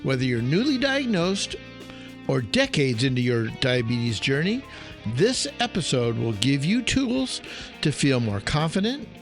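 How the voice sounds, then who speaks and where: A man hosting a podcast with an enthusiastic, emphatic delivery, as if reading an upbeat script. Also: a little high. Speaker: podcast host, chef.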